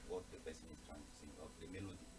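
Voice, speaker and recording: Deep fast-talking pianist, off-mic. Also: male voice, steady tone, distant mic, background noise. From Togo. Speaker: pianist guest. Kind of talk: casual interview answers.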